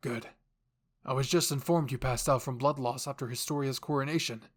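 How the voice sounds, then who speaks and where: A serious male military commander from an anime fan drama, voiced by a male narrator. He sounds firm and measured, with cautious authority and a middle pitch. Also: lightly acted. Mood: calm, commanding.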